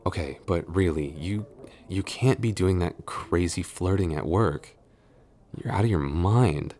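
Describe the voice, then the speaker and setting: Casting: male actor as grumbling but smitten tsundere office boyfriend, extremely low voice, intimate and hesitant ASMR roleplay delivery, audible background noise. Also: measured pace, mellow. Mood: flustered scolding. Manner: hesitant, mock-scolding, intimate.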